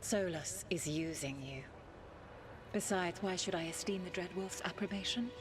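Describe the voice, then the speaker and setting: A woman playing an ancient, proud elven goddess spirit in a video game, speaking formally and with haughty, deliberate command. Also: fairly low pitch, music in the background, lightly acted. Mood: bitter, proud. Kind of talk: dramatic video game acting.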